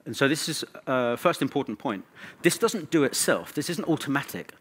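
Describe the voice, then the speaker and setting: Male conference presenter, mid-pitched voice, informal and conversational delivery with dry, wry asides. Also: expressive, moderate pace, fairly bright tone, slightly rough. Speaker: conference speaker. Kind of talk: conference talk.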